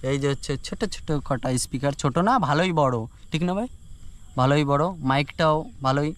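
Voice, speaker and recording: A man's voice: fairly high pitch, fairly quick. A young man chats casually to camera in an informal, buddy-like way, as in an unboxing vlog. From rural India. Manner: informal, buddy-like address, repeats filler phrases. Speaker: moto vlogger. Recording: noisy outdoor ambience.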